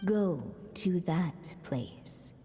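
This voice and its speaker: Slow, reverberant fairy-tale storyteller. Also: female voice, lowish voice, animated, dark tone. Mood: mysterious. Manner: measured, poetic. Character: mysterious female storyteller reading a fairy-tale riddle. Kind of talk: storybook narration in a video game. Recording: long reverb, faint noise.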